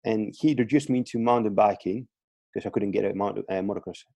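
A man's voice: on the low side, fairly quick, mellow, no echo. A man in his thirties from Greece with a Greek accent, speaking English as a second language as a coach guest on a podcast, hesitant with repeated words and fillers. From Greece (Crete). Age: 30s. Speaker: strength and conditioning coach, guest. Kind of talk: casual podcast interview.

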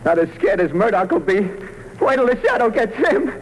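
An actor playing a cocky, defiant young prisoner in an old radio drama, exclaiming with agitated bravado. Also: male voice, high voice, big pitch swings, brisk, a little hiss or hum, rough recording. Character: cocky, defiant young male prisoner facing his end. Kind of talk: 1940s radio drama acting.

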